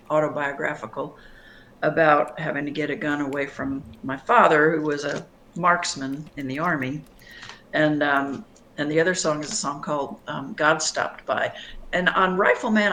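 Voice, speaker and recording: Bright-voiced woman singer-songwriter. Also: sits low, a little hiss or hum. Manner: thoughtful, reflective, with frequent fillers and restarts. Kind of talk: conversational interview answers.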